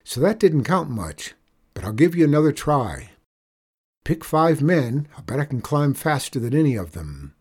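A man's voice: a little high, sing-song, moderate pace, darkish tone, breathy edge, pitched above the performer's own voice. A man voicing a cocky small boy from a Western story, bold and challenging in a folksy way. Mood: unbothered, challenging. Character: plucky, cocky small boy in the Old West.

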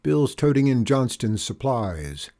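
A man voicing an easygoing village storekeeper, speaking up in a brisk, reassuring tone. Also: medium pitch, sing-song, dark tone.

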